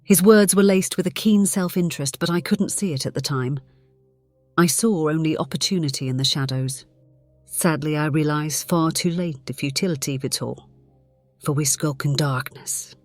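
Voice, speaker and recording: A woman playing a centuries-old, conflicted vampire, narrating gravely in a measured, theatrical way. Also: deep voice, a little mellow, no echo, natural voice. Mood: brooding. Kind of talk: dramatic scripted narration. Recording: dramatic music behind the voice.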